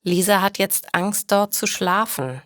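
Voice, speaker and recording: A woman's voice: mid-range voice, measured pace, a bit bright, no room sound, studio quality. A woman narrating scripted stories for language learners, with careful, didactic enunciation.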